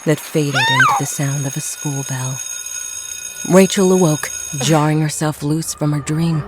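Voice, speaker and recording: A woman's voice: low voice, wildly swooping pitch, unhurried. A woman giving a scripted narration of a horror audio drama. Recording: music and crowd noise behind narration. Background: music, crowd sounds.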